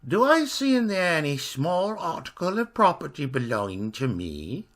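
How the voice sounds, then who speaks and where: A man voicing a stiff, drunken, ghostly stranger who speaks with slow, solemn dignity. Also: a little high, big pitch swings, a bit bright, pitched above the performer's own voice. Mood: stiffly solemn. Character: stiff, gin-soaked ghostly male stranger.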